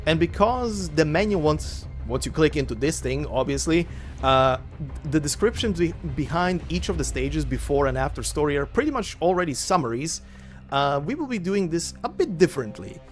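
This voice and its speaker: A man from Croatia, a native Croatian speaker, chatting casually as the host before narrating a game story. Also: moderate pace. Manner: chatty and rambling, with lively pitch jumps. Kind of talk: casual spoken intro to a game story reading. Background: faint looping game menu music.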